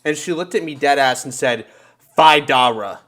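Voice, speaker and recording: A man from the United States telling casual podcast stories in an animated way, with plenty of swearing and bro slang. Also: medium pitch, normal speed. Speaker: podcast co-host. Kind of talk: casual podcast chat and storytelling.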